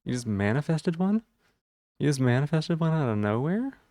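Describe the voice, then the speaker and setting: A man with a gravelly voice and a sore throat, rambling casually to viewers on a livestream while checking things aloud. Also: a little high, sing-song, normal speed, warm tone, no room sound, clean studio sound.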